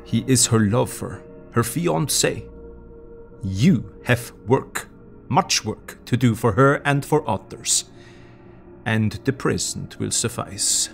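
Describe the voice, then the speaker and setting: Gravelly, grave older professor. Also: male voice, mid-range voice, expressive, faint music behind. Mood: solemn. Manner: deliberate, emphatic, halting foreign phrasing. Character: grave, urgent older Dutch professor and doctor. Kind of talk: audio drama acting.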